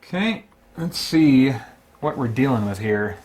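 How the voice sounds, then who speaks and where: A man hosting a solo tabletop game, giving casual, unscripted commentary and haltingly thinking aloud as he plays. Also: mid-range voice, lively intonation, darkish tone.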